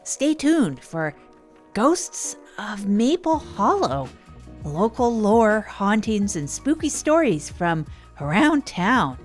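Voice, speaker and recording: A woman's voice: mid-range voice, big pitch swings, slow, faint music behind. A woman playing an awkward, obliging, unassuming radio host in an improvised comedy audio drama. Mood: announcing.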